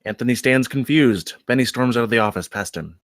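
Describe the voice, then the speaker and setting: A man reading screenplay stage directions aloud as narrator, with deliberate dramatic emphasis. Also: mid-range voice, no echo, clean studio sound.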